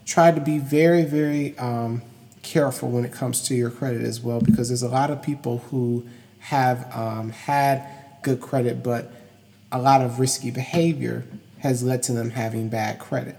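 A man's voice: mid-range voice, fairly even intonation, moderate pace, dark tone. A young adult man hosting an informal educational solo podcast, emphatic and repetitive as he stresses his key points. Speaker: podcast host. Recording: long hollow room reverb.

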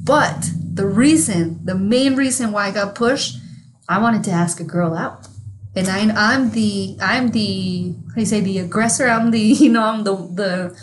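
A woman's voice: medium pitch, measured pace, slight background noise. A woman from Mexico with a Mexican accent, co-hosting a podcast in casual chat, telling stories candidly and swearing freely. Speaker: podcast co-host.

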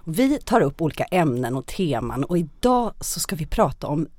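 Lively, lower-voiced woman hosting. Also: no echo. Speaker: podcast host, theatre artistic director. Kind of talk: conversational podcast hosting.

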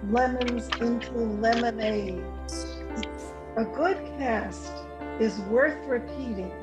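A woman's voice: on the high side, low-quality recording. A woman presenting a scripted outro to a radio drama.

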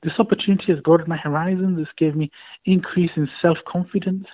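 A man giving a sincere, deliberate testimonial. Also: a little high, steady tone, dry recording. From Yorkshire, England. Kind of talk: recorded testimonial. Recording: tinny phone-like audio.

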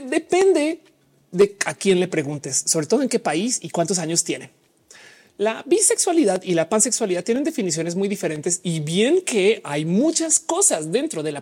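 Woman livestream host, mixed Mexican-Colombian accent, husky voice with a lively swinging pitch, slangy and talkative. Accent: mixed Mexican and Colombian Spanish. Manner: casual, chatty, explains at length with slang. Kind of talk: live chat reading audience comments.

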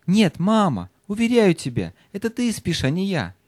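A man playing an earnest, excitable young boy in an audio fairy-tale play, speaking insistently. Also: high-pitched, normal speed, dry recording, full character voice.

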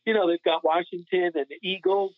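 An American man co-hosting a sports podcast, chatting casually in an animated, opinionated, colloquial way. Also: sits high, a little fast, no echo. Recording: muffled phone-like connection.

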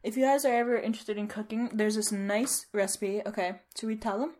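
A woman's voice: medium pitch, steady tone, a little fast, darkish tone. Young woman, podcast co-host, casual chat, playful, giggly, mid-range voice. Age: young adult.

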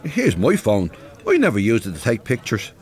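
A man's voice: mid-range voice, wildly swooping pitch, normal speed, a little mellow, faint music behind. An actor playing a plain-spoken, good-hearted older retired binman with a north Dublin accent in a radio drama. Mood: sheepish, chatty. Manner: earnest, folksy, bantering. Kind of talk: naturalistic radio drama acting.

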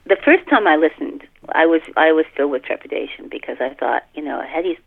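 A middle-aged woman talking casually in a podcast interview as an author, halting and often repeating and rephrasing her phrases. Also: low voice, expressive, creaky. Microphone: telephone. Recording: muffled, tinny telephone line.

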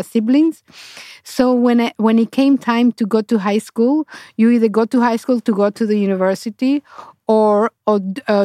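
Interview guest: middle-aged woman, Honduran accent, Spanish native, mid-pitched, conversational storytelling with halting word searches. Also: dark tone, no echo, clean studio sound.

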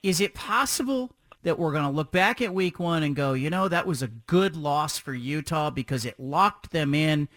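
A man hosting a sports talk radio show, chatty and energetic, asking long rambling questions. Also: fairly high pitch, normal speed, no room sound, studio quality.

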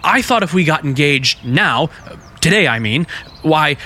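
An actor playing an earnest, plainspoken young American detective in love, in vintage radio drama style. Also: male voice, on the high side, expressive, unhurried, bright, forceful tone, exaggerated voice. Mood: tender, earnest.

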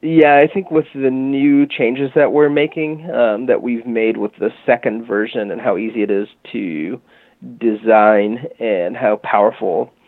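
A man being interviewed, explaining a product in a relaxed conversational way with lots of fillers. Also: medium pitch, a little slow, no room sound. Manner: relaxed, frequent fillers like "you know" and "um". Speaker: guest, music marketing tech company founder. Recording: dry phone-like line.

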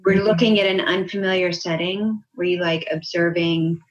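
Woman with halting questions, dull lo-fi sound. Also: lowish voice, steady tone. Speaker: conversation partner asking questions. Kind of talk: casual chat.

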